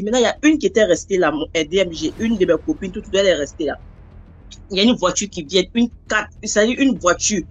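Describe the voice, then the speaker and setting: A woman telling a personal story in a rambling, animated way, acting out the voices she quotes. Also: mid-range voice. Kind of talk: casual spoken storytelling.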